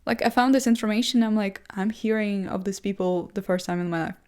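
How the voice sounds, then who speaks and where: Young woman talking english as her second language, rambly casual vlog chat, lots of um and like, mid pitch. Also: fairly quick, a little mellow. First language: not English. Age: young adult. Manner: chatty, self-deprecating, full of fillers and restarts. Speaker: vlogger. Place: seated indoors in a chair.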